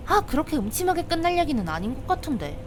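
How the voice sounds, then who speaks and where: A woman acting a teasing, bossy young investigator in a visual novel, expressive and quick to scold, with rain and insects behind her. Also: high voice, noisy background. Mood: teasing, nosy.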